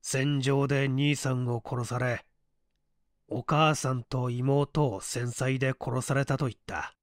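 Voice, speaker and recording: Man reading as cool, unhurried tough man. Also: medium pitch, fairly even intonation, dry recording, clean studio sound, lightly acted. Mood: stern, unhurried. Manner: leisurely, cool, commanding. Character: calm, unhurried tough man coolly lecturing his beaten foe.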